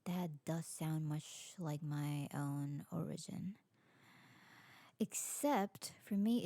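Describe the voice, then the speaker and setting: A woman's voice: low voice, dark tone, no echo, exaggerated voice. A woman playing a curious, adventurous, newly turned vampire in an ASMR roleplay drama, speaking in a genteel, formal way. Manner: genteel, formal, lingering delivery.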